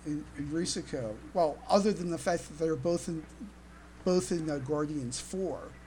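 A woman with a slightly low voice chats casually as a podcast co-host. She is halting, repeating words and stammering into her sentences. Faint noise sits in the recording. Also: darkish tone, low-quality recording.

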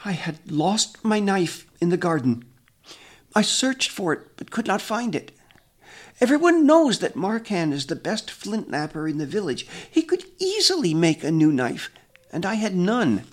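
A man voicing a frightened young male villager, speaking weakly and higher. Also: very animated, measured pace, heavily acted. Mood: fearful. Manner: weak, quavering, higher voice.

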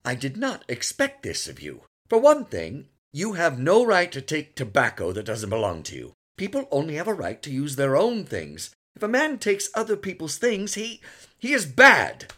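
A man's voice: on the low side, huge pitch swings, slow, few pauses, fairly bright tone, heavily acted. A man reading the part of a stern lawyer father who scolds his son emphatically, in an audiobook. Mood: lecturing.

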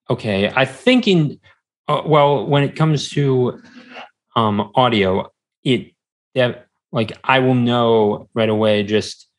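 A man's voice: medium pitch, slow. A man chatting casually as a podcast guest, hesitant and rambling, often repeating himself, on a podcast microphone. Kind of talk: casual interview chat. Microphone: standard podcast microphone.

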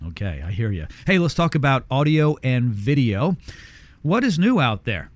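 Salesy male radio host, lively pitch. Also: moderate pace, dry recording. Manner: salesy, emphatic, repeats phone numbers. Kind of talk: upbeat radio talk and read ads. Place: radio studio.

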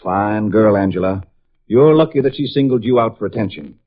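An actor playing a blustery, gruff middle-aged college football coach with a husky voice in a 1950s radio drama. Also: male voice, fairly low pitch, animated, normal speed, lightly acted. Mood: brusque. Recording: muffled vintage radio recording.

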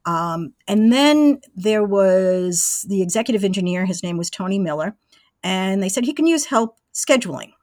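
A woman's voice: mid-range voice, lively intonation, moderate pace, darkish tone, dry recording, clean studio sound. A woman in her sixties recounting her past step by step in a conversational interview, as the guest. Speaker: interview guest, former college radio engineer.

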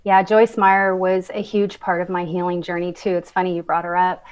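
A woman's voice: lowish voice, flat delivery, moderate pace, smooth, dull audio. Woman guest telling her testimony. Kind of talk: sharing a personal testimony.

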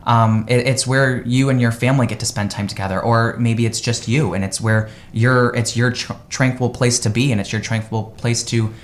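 A man's voice: mid-range voice, steady tone, normal speed, few pauses. A man from the United States, a real estate agent guesting on a live radio interview, talking in an upbeat, enthusiastic way.

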